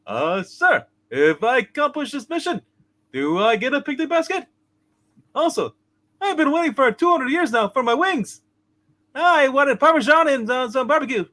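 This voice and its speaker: A man's voice: very high-pitched, animated, normal speed, pushed and bright, full character voice. A man voicing an eager, fretful apprentice angel in a cartoon-bear voice, hesitant and deferential in a comic radio play.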